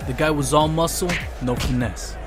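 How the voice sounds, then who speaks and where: A man's voice: on the high side, normal speed, music in the background, close to an everyday voice. An actor playing a wary, ambitious henchman in a motion comic, speaking in a plain, confiding, hard-boiled noir manner. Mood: reflective. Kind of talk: motion comic narration and dialogue.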